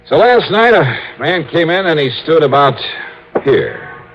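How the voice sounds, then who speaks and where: A tough, sure-of-himself male investigator in vintage radio style. His pitch swings widely as he presses his case in clipped phrases, on a dull old broadcast recording. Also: very animated, unhurried. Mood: cool, accusing. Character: tough, sharp, self-assured male insurance investigator. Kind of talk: 1950s radio drama acting.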